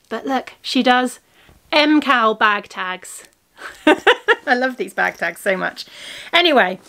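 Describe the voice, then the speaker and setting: A woman's voice: on the high side, vocal fry, fairly bright tone. A woman who is losing her voice hosts a crafting podcast and chats casually while showing her knitting projects to the camera. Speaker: crafting podcast host and yarn shop owner. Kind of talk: casual video podcast chat.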